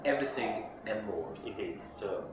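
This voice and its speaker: A man who grew up in Hungary speaks English as a second language in a low voice, eagerly praising things and often repeating words as he searches for the next one. He sits some distance from the mic in a very echoey hall. Loud crowd noise fills the background. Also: expressive, normal speed. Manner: enthusiastic, repeats words while searching for them. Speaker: interviewee drummer. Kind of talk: casual interview answers. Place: busy drum fair hall.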